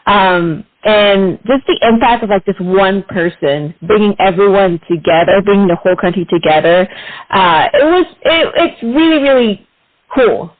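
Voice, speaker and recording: A woman from the Philippines, a native Tagalog speaker, chatting casually as an interview guest in an informal, halting way. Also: medium pitch, no echo. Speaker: interview guest, ring announcer. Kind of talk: casual conversational storytelling. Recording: dry, tinny phone-like line.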